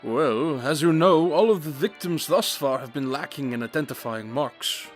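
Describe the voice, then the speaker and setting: An actor playing a brilliant, brooding detective in an audio drama, speaking in a deliberate, analytical and slightly formal way. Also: male voice, fairly high pitch, faint music behind, natural voice. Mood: analytical, intense. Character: brooding, brilliant detective, intense and self-absorbed.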